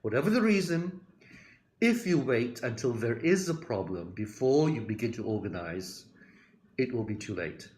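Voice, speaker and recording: An older man from Hong Kong and Australia giving a prepared, measured explanatory talk to the camera as a community organizer, recorded in a room at home. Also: mid-range voice. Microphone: phone or webcam at arm's length.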